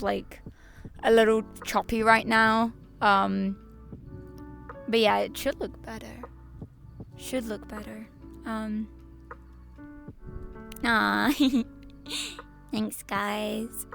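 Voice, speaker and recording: Hoarse raspy-voiced woman streamer. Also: fairly high pitch, fairly bright tone. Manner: rambling, stop-start, repeats herself, laughs often. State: hoarse, slightly lost voice. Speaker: VTuber streamer. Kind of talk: casual live-stream chat to viewers.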